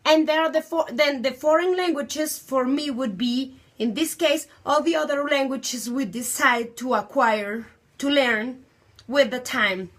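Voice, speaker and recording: A woman in her twenties with a Colombian accent, a native Spanish speaker who teaches English, speaking thoughtfully and pausing to search for words. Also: high voice. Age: 20s. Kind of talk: personal talk on language learning.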